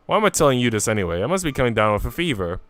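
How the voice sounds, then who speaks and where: A man's voice: mid-range voice, sing-song, rapid-fire, fairly bright tone, no echo. A man voicing a haughty, guarded young aristocratic girl in a visual novel, snappish and dismissive. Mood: scornful.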